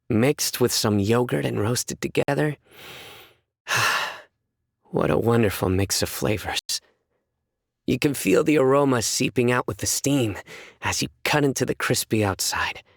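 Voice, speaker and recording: A man voicing a stern, principled young judge in a video game, reserved, with measured, formal delivery. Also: on the low side, no room sound, studio quality, close to an everyday voice. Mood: calm, appreciative. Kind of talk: scripted video game character voice lines.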